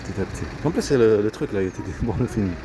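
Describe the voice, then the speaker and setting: A man's voice: lowish voice, animated, racing. A man giving a spontaneous, rambling monologue outdoors, repeating himself in fragmented phrases as his thoughts drift. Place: outdoors near road with vehicles. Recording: noisy outdoor street, traffic noise.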